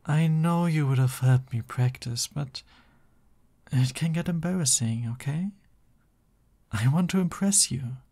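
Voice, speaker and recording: A man's voice: on the high side, measured pace, long pauses, darkish tone. A man playing a young supervillain boyfriend in an ASMR roleplay, theatrical and boastful but easily flustered and affectionate. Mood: flustered, embarrassed.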